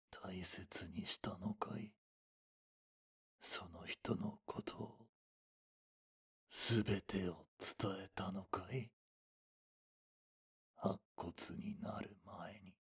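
Voice drama role: cryptic elderly man, acted by a man, very husky and breathy, dragging his words. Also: lowish voice, fairly even intonation, darkish tone, exaggerated voice. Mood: grave, probing. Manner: slow, grave, old-man phrasing. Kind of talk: Japanese voice drama acting.

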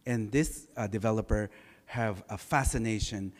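Baritone man lecturing in a reverberant hall. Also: moderate pace. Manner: relaxed, anecdotal, many filler sounds. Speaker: architect giving a lecture. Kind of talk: conversational design lecture.

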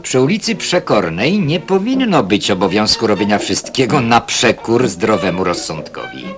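A man's voice: lowish voice, sing-song, normal speed. A man reading scripted newsreel narration with a wry touch. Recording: old film newsreel soundtrack with music. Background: music.

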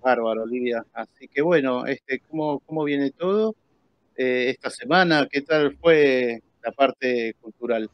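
An Argentine man in his forties hosts a live stream in a casual way. His voice sits at a middle pitch, and the sound is dry, with very little room in it. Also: a little slow, no echo, dull audio. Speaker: host. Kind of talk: casual live show presenting. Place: indoors at a computer, live stream.

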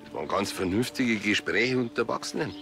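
Low-voiced, defensive Bavarian horse farmer played by a man. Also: a little fast, music in the background, natural voice. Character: evasive, hard-up male horse farmer.

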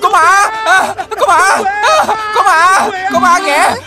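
An actor voicing a timid, polite young gentleman scholar who is easily frightened, in a dubbed children's cartoon. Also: very high voice, slow pace, a crowd in the background. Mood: terrified. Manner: polite, jittery, exclaiming. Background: loud music.

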